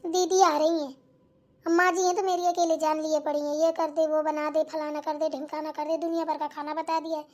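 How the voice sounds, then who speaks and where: Casting: very high, girlish village housewife for a family cartoon , voiced by a woman, gossipy and full of complaints. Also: flat delivery, fairly quick, darkish tone, lightly acted. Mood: complaining. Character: chatty village daughters-in-law and nagging mother-in-law, grumbling.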